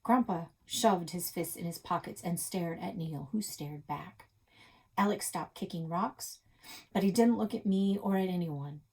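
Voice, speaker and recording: A woman's voice: lowish voice, unhurried, a little mellow, husky, low-quality recording. A woman reading a children's novel aloud as its first-person narrator, expressively, leaning on key words. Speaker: read-aloud reader for a public library.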